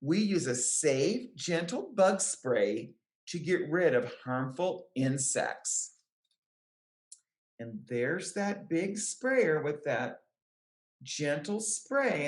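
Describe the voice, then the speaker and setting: In a roomy, echoing space, a woman reads a children's picture book aloud in a low voice. Her delivery is careful and deliberate, with lively rises and falls in pitch that suit young listeners. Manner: careful, deliberate phrasing with short explanatory asides to children. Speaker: children's book reader, extension educator.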